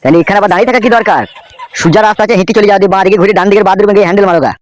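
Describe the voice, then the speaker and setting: পুরুষের করা চড়া গলার টিটকিরিবাজ লোভী চ্যালা. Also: male voice, sits high, rapid-fire, natural voice. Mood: jeering. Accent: rural West Bengali. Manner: jeering, swaggering. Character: mocking, greedy young henchman, crude prankster. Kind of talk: amateur comedy cartoon dub.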